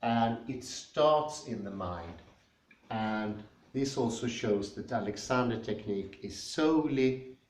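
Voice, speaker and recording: A man with a Swedish accent giving a spoken lecture, thoughtful and pausing often with fillers. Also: on the low side, a little mellow. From Sweden. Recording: echoey room, mic at a distance.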